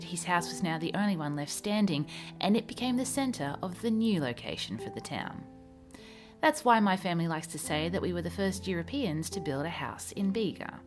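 Australian woman, low voice, measured history storyteller, scripted narration over background music.

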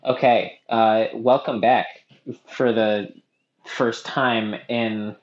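A man's voice: on the low side, fairly even intonation, drawn-out words, roomy sound. A young man co-hosting a podcast in casual chat, rambling with self-mocking jokes. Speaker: podcast co-host. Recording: dull lo-fi sound.

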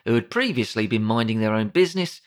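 A man's voice: fairly low pitch, expressive, quick pace. A British man narrating a comedic audiobook in a wry, sardonic, conversational way.

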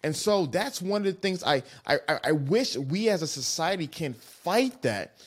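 Earnest, reflective podcast host. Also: male voice, a little high, animated, normal speed. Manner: earnest, reflective, long-winded. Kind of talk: casual podcast conversation.

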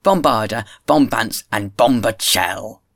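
A man voicing rowdy young diners who heckle a friend with loud, drawn-out calls in an audiobook. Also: on the low side, vocal fry, no room sound, clean studio sound, heavily acted. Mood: rebellious.

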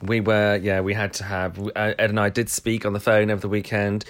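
A man's voice: lowish voice, level tone, brisk. Giggly, hesitant podcast co-host, a man. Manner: hesitant, full of restarts and laughter. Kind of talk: casual podcast chat.

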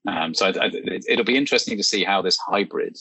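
A man hosts a podcast in an easy, conversational way, thinking aloud and then handing the floor to his guest. His voice sits very low and has a raspy, breathy grain. It still carries a bright, forceful edge. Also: quick pace, no echo. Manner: thoughtful, asks open questions. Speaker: podcast host. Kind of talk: casual conversational discussion.

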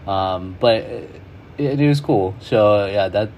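A man's voice: lowish voice, takes it slowly, highs cut off, slight background noise. A man, a guest in an interview, reflecting in a loose way full of "like" and "you know". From Hawaii.

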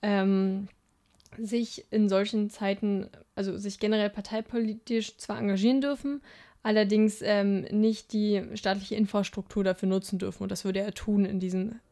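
A woman's voice: mid-range voice, little pitch movement, normal speed, dark tone. A young woman co-hosting a political podcast in casual chat, hesitant, with frequent filler sounds and restarts. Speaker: podcast co-host.

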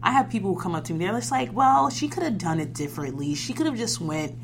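A woman's voice: medium pitch, big pitch swings, quick pace. A young woman hosting a casual conversation, telling stories in an emphatic, informal way. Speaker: podcast host. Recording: noisy recording with clear hum.

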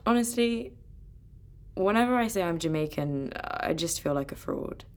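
A woman's voice: fairly low pitch, lively intonation, moderate pace, lightly acted. A woman with a British accent playing a grieving, sceptical young British-Jamaican in a radio play, guarded and matter-of-fact. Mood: candid, weary. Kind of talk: naturalistic radio play acting.

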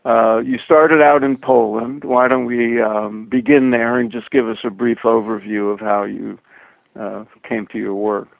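A male podcast interviewer with a creaky voice and a very slow, halting delivery. He asks questions conversationally. The recording is dry and a bit muffled. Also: mid-range voice, fairly even intonation, no room sound.

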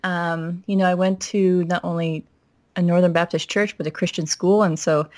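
A woman from Minnesota as a podcast guest, telling her family story in a reflective, steady way with frequent "you know" fillers. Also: on the low side, normal speed, no room sound. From Minnesota, United States. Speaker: pastor's wife and guest. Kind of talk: podcast interview, personal story.

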